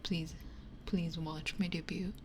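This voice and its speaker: Whispering young woman, slow ASMR chat. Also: fairly low pitch, little pitch movement, slight background noise. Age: young adult. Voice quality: breathy, mostly whispered. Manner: casual, candid, playfully self-mocking. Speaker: ASMR livestreamer. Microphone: 3Dio binaural ASMR microphone, close up.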